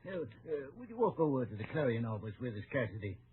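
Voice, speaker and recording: A man's voice: mid-range voice, very animated, quick pace, lightly acted. Man playing genial older townsman, tinny radio. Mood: genial, earnest. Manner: genial, hesitant, folksy. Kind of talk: old-time radio western acting. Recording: noisy, tinny old radio recording.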